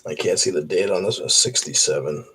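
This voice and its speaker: A man's voice: sits low, fairly quick, background noise. A man chatting casually in a live stream while sorting coins, rambling with many fillers and restarts. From Boston area. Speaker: coin roll hunter streaming.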